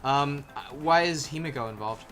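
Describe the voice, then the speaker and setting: A person chatting casually while playing a game and reading out its text, animated, sweary and easily amused. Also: medium pitch, moderate pace, soft background music. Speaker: player and fan dub voice reader. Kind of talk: casual gaming chat mixed with reading tutorial text.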